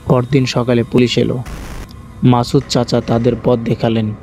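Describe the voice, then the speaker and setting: A man's voice: mid-range voice, completely flat delivery, normal speed, darkish tone. A man narrating a scripted first-person horror story as the channel's host. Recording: faint music bed under narration. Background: faint music.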